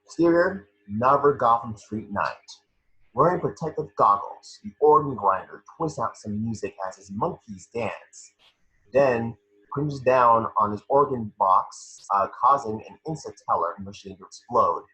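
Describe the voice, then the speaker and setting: A man reading scene descriptions at an amateur table read, with a husky voice. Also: mid-range voice, expressive, measured pace, sharp tone, low-quality recording.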